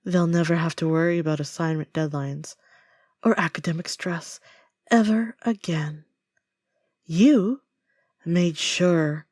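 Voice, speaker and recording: A possessive female villain, acted by a woman, speaks very slowly in a dry, intimate audio roleplay. She coats her threats in false sweetness and stays coldly deliberate. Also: fairly low pitch, a little mellow, no room sound. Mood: menacing, mock sweet. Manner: slow, deliberate, veiled threats with endearments.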